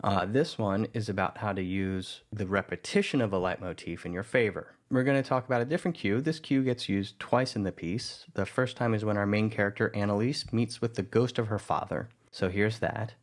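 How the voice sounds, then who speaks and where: A man narrating a tutorial on music editing in a relaxed, explanatory way. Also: lowish voice, a little fast, hardly any pauses. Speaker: audio drama producer teaching music editing. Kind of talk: tutorial voice-over.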